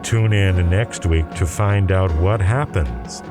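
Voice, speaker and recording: A male announcer narrates a drama serial in a deep, unhurried voice. The delivery is theatrical and portentous, with prominent music underneath.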